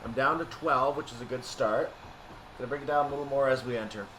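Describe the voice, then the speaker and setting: A man's voice: mid-range voice, fast, fairly bright tone, slight background noise, poor audio. A man giving explanatory, methodical gameplay commentary, thinking aloud as he plays a train simulator. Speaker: video game streamer playing a train simulator.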